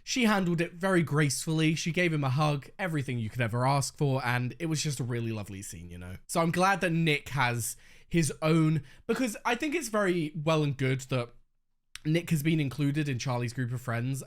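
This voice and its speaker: A young man hosting a reaction video in casual, candid chat, slightly hoarse as he is losing his voice. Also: medium pitch, a little fast. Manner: chatty, candid, swears freely.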